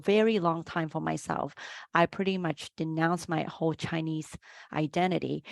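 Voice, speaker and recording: Chatty low-voiced woman from Hong Kong. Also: gravelly, no echo. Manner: chatty, many fillers and restarts. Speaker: life coach and interview host. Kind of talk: casual conversational interview. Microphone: video call.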